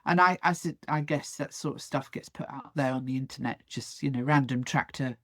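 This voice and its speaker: A woman's voice: a bass voice, brisk, no room sound, studio quality. A woman hosting a podcast, asking questions in a chatty, hesitant way. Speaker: podcast host. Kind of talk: interview questions.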